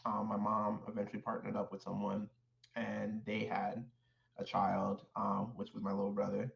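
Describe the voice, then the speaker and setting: A man born in Haiti, a guest in an interview, telling his life story in a measured, thoughtful way with frequent fillers and restarts. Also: on the low side, completely flat delivery, gravelly, reverberant, dull audio. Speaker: guest, clinical social worker. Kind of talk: reflective personal storytelling.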